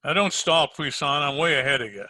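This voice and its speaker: Guy doing a smart-aleck radio detective, real sharp bright voice, dry sound, sarcastic and slangy. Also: fairly high pitch, animated, brisk, no echo. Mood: sarcastic, probing. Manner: sardonic, needling, slangy. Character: wisecracking, sardonic male private detective narrator. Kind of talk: old-time radio detective narration and acting. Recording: home recording over video call.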